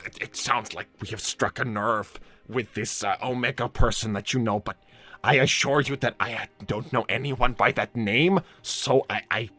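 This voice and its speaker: A man acts out a courteous mediator in a live roleplay. His voice is bright and sharp yet fairly low, and he picks his formal words haltingly, eager to placate. Faint music plays behind him. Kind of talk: improvised character roleplay on a livestream.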